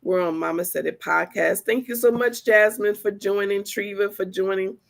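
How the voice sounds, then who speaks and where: A woman hosting a podcast in a chatty, animated way, a little congested from the flu. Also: mid-range voice, normal speed, vocal fry. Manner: chatty, animated storytelling with laughs. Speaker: podcast host. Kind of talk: casual podcast chat.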